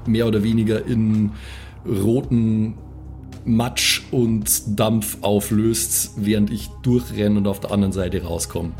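A man's voice: fairly low pitch, fairly even intonation, slow pace, a little mellow, faint music behind. A man casually improvising his moves as a tabletop player, thinking aloud with some hesitation. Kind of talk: casual improvised game talk.

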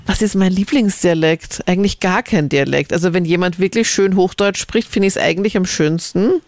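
A woman's voice: lowish voice, moderate pace, no echo. A woman from Linz, Austria, co-hosting a radio call-in show and chatting animatedly. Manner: chatty, animated storytelling with laughs. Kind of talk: casual radio chat. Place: radio studio. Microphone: studio microphone.

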